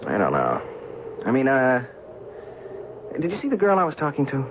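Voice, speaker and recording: A man's voice: mid-range voice, huge pitch swings, moderate pace. A man playing a skeptical, weary, wry scientific investigator in an old-time radio drama, speaking colloquially and hesitantly. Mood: defensive, flustered. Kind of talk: old-time radio drama acting with first-person narration. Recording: noisy, muffled old radio show recording.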